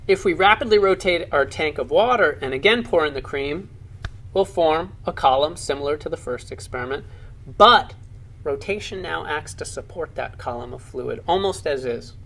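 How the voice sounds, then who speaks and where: A man with a slightly high voice and a noticeably creaky, gravelly edge narrates an educational science film. He explains lab demonstrations like a keen teacher, leaning on key words with clear enthusiasm. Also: expressive, normal speed, fairly bright tone.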